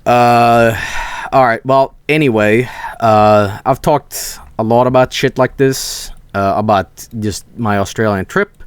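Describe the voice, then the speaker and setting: A man's voice: medium pitch, steady tone, takes it slowly, clear, resonant tone, no echo. A young man from Sweden, telling rambling stories on a casual stream, swearing freely and restarting his sentences.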